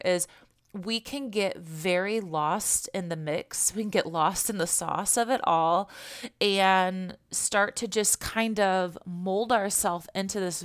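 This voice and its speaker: A woman hosting her own podcast, a little congested and hoarse from allergies, talking in a gentle, reflective way and often repeating herself as she asks questions aloud. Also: medium pitch. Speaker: podcast host. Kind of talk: casual spiritual podcast monologue.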